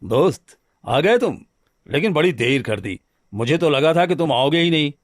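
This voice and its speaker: A man's voice: a little high, animated, normal speed, dry recording, clean studio sound, close to an everyday voice. A man playing a good-natured married village woodcutter in a cartoon story, earnest and homely in manner. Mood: reassuring. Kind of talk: Hindi cartoon story dialogue.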